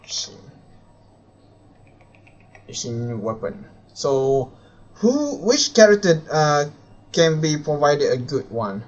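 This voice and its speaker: A man's voice: on the high side, very animated, slow, pauses often, dull audio, a little hiss or hum. A man doing casual live game commentary, thinking aloud and muttering to himself as he plays. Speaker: video game live streamer. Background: game music.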